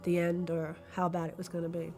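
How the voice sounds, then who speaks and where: A woman's voice: fairly low pitch, completely flat delivery, a little fast. A woman recalling her experience as a passenger in a conversational documentary interview. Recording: loud music under voice. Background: loud background music.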